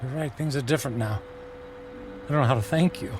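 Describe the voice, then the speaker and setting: A man's voice: mid-range voice, fast, faint music behind, lightly acted. An actor playing an emotional, desperate man in a radio drama, agitated and prone to stammering. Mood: humbled, grateful. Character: angry, desperate man who believed himself doomed.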